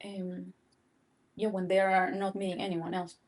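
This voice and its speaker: A woman's voice: mid-range voice, level tone, measured pace. A woman whose first language is Spanish, co-hosting a casual chat about dating, often restarting her sentences and repeating words. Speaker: podcast co-host.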